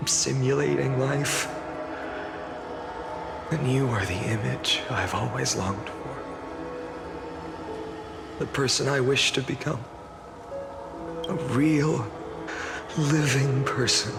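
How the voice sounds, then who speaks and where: A man playing a solemn, resolute young warrior hero in a video game, speaking earnestly and wistfully in measured phrases with a breathy, gravelly edge. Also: medium pitch. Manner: measured, earnest, heavy with pauses. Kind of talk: dramatic video game voice acting. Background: music behind the voice.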